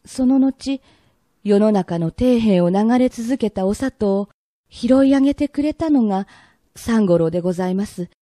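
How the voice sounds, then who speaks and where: Bright-voiced woman narrating a period drama. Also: mid-range voice, animated, no room sound, clean studio sound. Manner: polite, measured narration in old-fashioned honorifics. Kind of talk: formal period radio drama storytelling.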